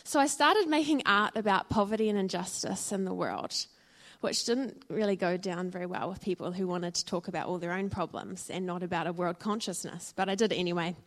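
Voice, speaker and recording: A woman's voice: lowish voice, fairly quick, few pauses. A woman with a New Zealand accent, probably in her thirties, giving an earnest and reflective personal testimony to a church audience, with frequent ums and uhs. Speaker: church speaker sharing her story.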